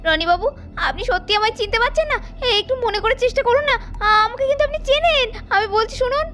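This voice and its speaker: A woman voicing a melodramatic, cheeky young village woman in a doll cartoon, with a high-pitched voice. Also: quick pace. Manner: melodramatic wailing and pleading. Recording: music behind the voice.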